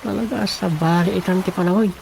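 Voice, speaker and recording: A man's voice: high voice, fairly even intonation, brisk, vocal fry, darkish tone, a little hiss or hum, poor audio. An actor with an Ilocano accent playing a musing man who mutters to himself in a radio drama comedy. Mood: musing, concerned.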